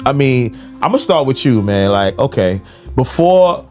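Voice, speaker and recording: A man's voice: mid-range voice, sing-song, measured pace, darkish tone, faint music behind. An American man hosting a podcast, talking casually with lots of slang and conversational tags. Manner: slangy, full of conversational tags like "you know". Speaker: podcast host.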